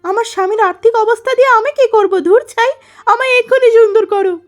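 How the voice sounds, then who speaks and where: A woman's voice: very high voice, fast. A woman voicing a vain, selfish young wife in a cartoon story, wailing and petulant in a melodramatic way. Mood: greedy, insistent.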